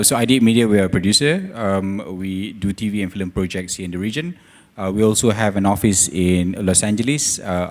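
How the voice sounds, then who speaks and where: A man who runs a production company, speaking on a conference panel in a measured, businesslike way. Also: low voice. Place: conference hall. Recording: reverberant hall.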